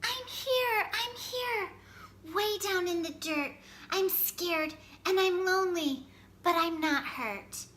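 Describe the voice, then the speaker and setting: A woman's voice: very high-pitched, takes it slowly, fairly bright tone, a little hiss or hum, pitched above the performer's own voice, exaggerated voice. A woman voicing a small, anxious male seed in a storybook, plaintive and childlike, reluctant and sweet. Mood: scared, calling out.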